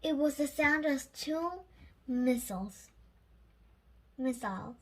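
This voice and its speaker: A child reading a storybook aloud in a halting way, correcting slips along the way. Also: high-pitched, animated, low-quality recording.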